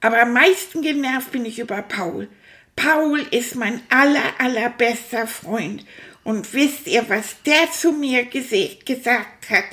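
A woman voicing a boastful, childlike male toy rat who chatters excitably and impatiently to young listeners on a children's audio show. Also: fairly high pitch, a little slow. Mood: annoyed.

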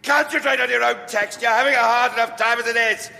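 Bullying stage director, high for a man, scornful, ringing hall reverb. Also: steady tone, fast talker, creaky, full character voice. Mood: furious, scornful. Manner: theatrical, scornful, forceful. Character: harsh, domineering theatre director berating his actors. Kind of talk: scripted audio drama.

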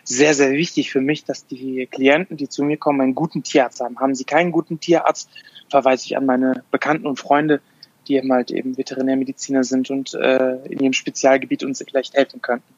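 A man's voice: mid-range voice, little pitch movement, fast talker, no echo. Hesitant man, dry, muffled sound. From Afghanistan. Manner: hesitant, many restarts and fillers. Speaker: dog behavior therapist. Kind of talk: podcast interview answers.

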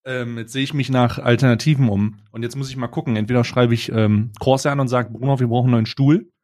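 A man's voice: medium pitch, steady tone, fast talker, mellow. Male co-host, mid-range voice, meandering casual chat with slang and jokes, close podcast mic. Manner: rambling, joking, slangy.

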